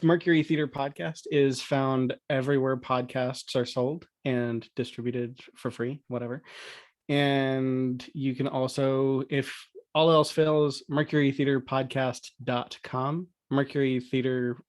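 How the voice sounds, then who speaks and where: Mid-pitched man chatting as guest. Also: flat delivery, unhurried, a little mellow. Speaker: podcast guest, audio drama producer. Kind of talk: casual podcast chat.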